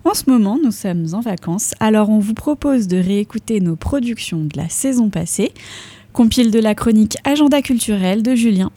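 A woman's voice: mid-range voice, expressive, moderate pace, dark tone, dry recording. A woman presenting a daily radio news show in a friendly, upbeat way. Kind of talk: scripted radio introduction.

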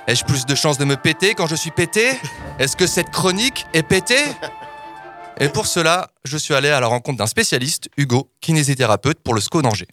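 A man's voice: fairly high pitch, expressive, a little fast, bright, forceful tone, faint music behind. A man in his thirties reading a comic podcast column, wry and self-mocking, with theatrical touches. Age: 30s.

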